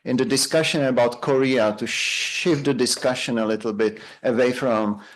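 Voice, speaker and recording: A man giving measured, deliberate, unscripted remarks as a symposium panelist. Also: mid-range voice, steady tone.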